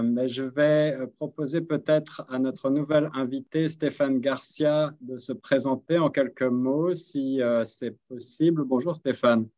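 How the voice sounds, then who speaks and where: Halting male radio host, muffled sound. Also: mid-range voice, darkish tone. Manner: hesitant, halting, with many restarts. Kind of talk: moderating a radio panel. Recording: dull lo-fi radio audio.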